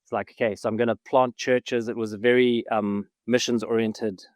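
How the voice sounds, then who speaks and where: A man's voice: mid-range voice, flat delivery, moderate pace, no room sound, clean studio sound. A man from Zimbabwe, a guest on a podcast, chatting casually and enthusiastically, often laughing. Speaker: guest, film writer-director. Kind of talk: casual interview chat.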